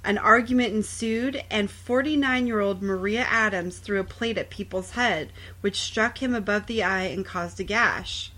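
A woman's voice: medium pitch, moderate pace, pushed and bright. A woman co-hosting a podcast, casually reading out true crime stories with sardonic, sometimes profane asides. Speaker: podcast co-host.

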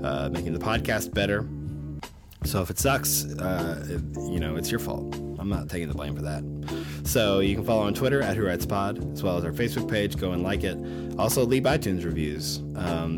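A young man hosting a casual podcast. He talks in a rambling, self-deprecating way with many fillers, and his voice is low because he is recovering from an illness. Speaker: podcast host.

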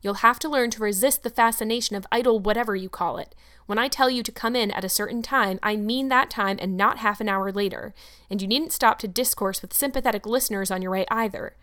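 A woman's voice: mid-range voice, fairly quick, a bit bright, close to an everyday voice. A woman voicing a stern, brusque older spinster who scolds in a clipped way. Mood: scolding.

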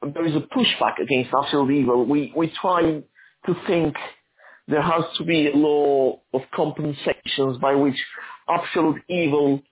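A man who grew up in Argentina, an author answering interview questions in a halting, thoughtful way with many restarts. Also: a little high, fairly even intonation, narrow, tinny audio.

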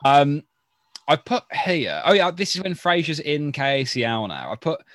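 A man's voice: a little high, sing-song, moderate pace, a bit bright, no room sound, clean studio sound. A man co-hosting a podcast in casual chat, rambling and thinking aloud as he goes. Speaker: podcast co-host. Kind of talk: casual chat about a sitcom.

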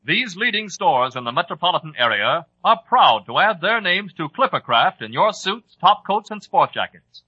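An American man reading a scripted 1940s radio advertisement with formal, deliberate enunciation. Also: sits high, big pitch swings, pushed and bright, slightly rough. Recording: dull, lo-fi old radio recording.

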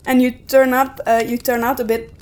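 A woman's voice: high voice, level tone, moderate pace, a little mellow. A woman co-hosting a podcast, talking in a casual, conversational way. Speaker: podcast co-host.

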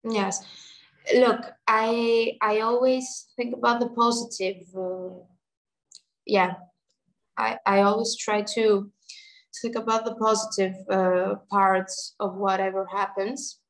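Slow-talking young woman singer. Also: mid-range voice, little pitch movement, poor audio. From Germany and Greece. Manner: halting, searching for words, many restarts. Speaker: singer, interview guest. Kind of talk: casual interview answers.

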